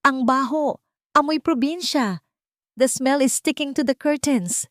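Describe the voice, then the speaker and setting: Woman putting on a shrill, haughty Filipino daughter-in-law. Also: a little slow, no room sound, clean studio sound. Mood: disgusted. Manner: sharp, emphatic disdain. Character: haughty, scornful daughter-in-law, two-faced and snobbish. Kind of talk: storybook character voice.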